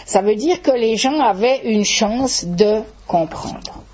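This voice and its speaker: An older woman teaching at a public talk, explaining in a measured way and stretching words for emphasis, with a slightly husky voice. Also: on the high side, expressive, a little mellow, muffled recording, a little hiss or hum. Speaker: Esperanto teacher giving a talk. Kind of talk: public talk with audience questions.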